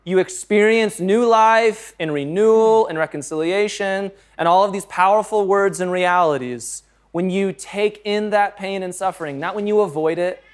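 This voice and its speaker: A male pastor with a fairly high voice preaches in an earnest, conversational way. He moves between scripture reading and plain talk to the congregation and pokes fun at his own stumbles. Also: moderate pace, few pauses, smooth.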